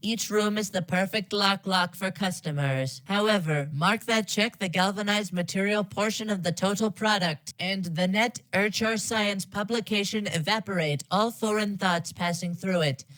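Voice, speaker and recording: A woman voices a polite female computer assistant from a game. The voice is mid-pitched and synthetic, giving formal, stilted instructions. It sounds very dry, with no room around it. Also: normal speed, hardly any pauses, a bit bright, no room sound, low-quality recording. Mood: formal, detached. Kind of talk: scripted game announcements.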